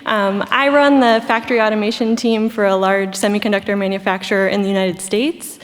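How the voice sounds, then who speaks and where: A woman's voice: medium pitch, steady tone, brisk. A woman in the audience putting a question to the panel at a live event. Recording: reverberant conference hall.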